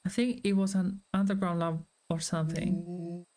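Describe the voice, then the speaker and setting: A woman's voice: lowish voice, little pitch movement, moderate pace, dark tone, close to an everyday voice. A woman voicing a goofy, easygoing overgrown friend while reading video game dialogue aloud, casual and good-natured. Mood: casual boasting. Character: oversized, goofy, easygoing friend turned older man.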